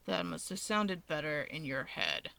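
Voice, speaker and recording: A woman voicing an irritated, menacing young man in a story reading, speaking in a flat, dry, deadpan way. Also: deep voice, unhurried, creaky, dry recording, pitched below the performer's own voice, full character voice. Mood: unamused. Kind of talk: expressive fan fiction character reading.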